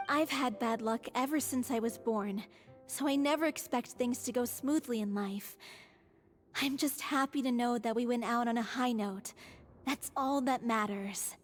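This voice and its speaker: An actress voicing a frail, unlucky young troupe performer in a game, speaking in a quiet, resigned way. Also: female voice, on the high side, unhurried, soft background music, lightly acted. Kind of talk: game voice acting.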